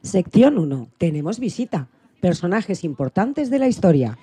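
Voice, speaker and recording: A woman's voice: lowish voice, very animated, moderate pace, mellow, breathy edge. A woman co-presenting a show with scripted section announcements.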